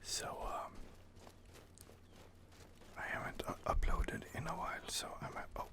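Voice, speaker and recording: A man softly whispering ASMR commentary on a fantasy game he is playing, rambling and hesitant, close to the microphone. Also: a little slow. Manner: soft-spoken, rambling, hesitant. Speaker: gaming channel host.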